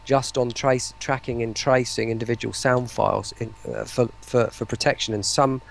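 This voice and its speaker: A man's voice: medium pitch, fairly even intonation, moderate pace, creaky. A man chatting casually as an interview guest, hesitating and repeating words as he explains his work. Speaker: interview guest, voice pack producer.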